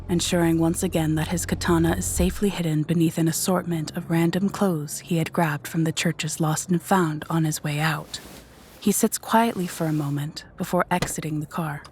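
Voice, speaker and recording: A woman reading scripted narration for a dark audio drama in a measured, descriptive storytelling manner. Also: fairly low pitch, slight background noise.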